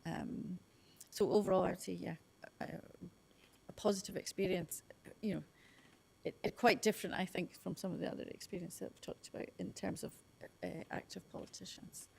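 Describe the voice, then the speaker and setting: Woman panelist, gravelly and husky, halting delivery. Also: fairly low pitch, steady tone. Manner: hesitant, many fillers and restarts. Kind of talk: panel discussion answer.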